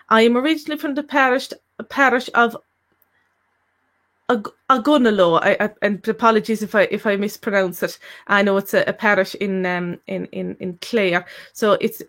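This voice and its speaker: A woman's voice: mid-range voice, a bit bright. An Irish woman co-hosting a live Q&A, reading out questions sent in by viewers. She talks hesitantly, with plenty of fillers and restarts. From Ireland. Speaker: co-host of a language-learning Q&A.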